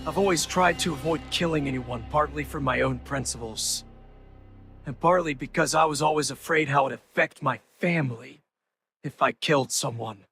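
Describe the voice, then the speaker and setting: An actor playing a vengeful, menacing superhero in a comic-book drama, speaking with deliberate, measured pauses and a gravelly edge. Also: male voice, medium pitch, fairly bright tone. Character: vengeful, menacing male superhero threatening his enemy. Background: music.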